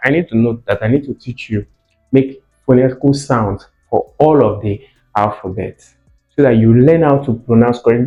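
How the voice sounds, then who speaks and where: Man, teacher, mellow tone, didactic, questioning, repetitive emphasis, dull echoey recording, faint music. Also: medium pitch. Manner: didactic, questioning, repeats key words for emphasis. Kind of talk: explanatory phonics lesson. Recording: muffled, echoey room with faint music behind.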